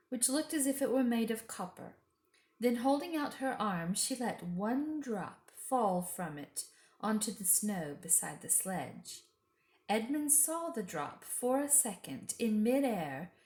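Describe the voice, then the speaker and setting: Woman, storyteller, medium pitch, expressive children's book reading, noticeable room echo. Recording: roomy echo.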